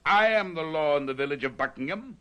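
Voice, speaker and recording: A man's voice: a little high, animated, brisk, fairly bright tone, smooth. An actor playing a cruel, imperious English village lord in radio drama, pompous and formal in his menace. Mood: gloating menace. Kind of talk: 1970s radio drama acting.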